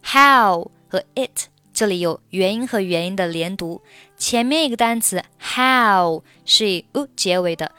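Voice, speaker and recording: Slow, careful woman teaching pronunciation. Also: medium pitch, expressive, sharp tone, clean tone, no echo, faint music behind. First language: Mandarin Chinese. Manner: slow, careful repetition of words and phrases. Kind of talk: pronunciation lesson, reading dialogue lines and explaining linking.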